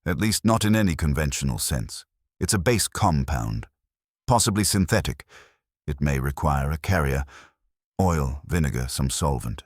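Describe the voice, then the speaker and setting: A precise, cautious chef, voiced by a man, explains his findings in measured, careful phrases. The voice is very deep, with a lively pitch. The recording is close and dry. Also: no room sound.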